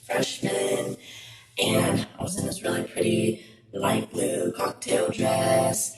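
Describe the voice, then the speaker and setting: Woman telling a story thru a voice effect, super deep w wild pitch jumps, music loud over it. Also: sing-song, slow pace, gravelly, echoey room. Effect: pitch-shifting musical voice effect. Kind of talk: casual personal storytelling through a TikTok voice effect.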